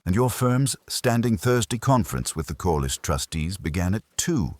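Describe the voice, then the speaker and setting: A man playing an imperious older detective in an audiobook mystery, speaking in a measured, formal, pompous way. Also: low voice, expressive, dry recording, pitched above the performer's own voice. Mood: stern, deliberate.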